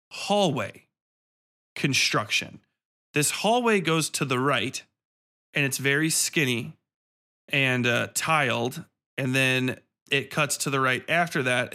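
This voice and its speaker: Male podcast reviewer, mid-pitched with a bright, forceful edge; blunt, sweary, sardonic, casual solo delivery. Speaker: podcast host and film reviewer. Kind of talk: casual film review monologue.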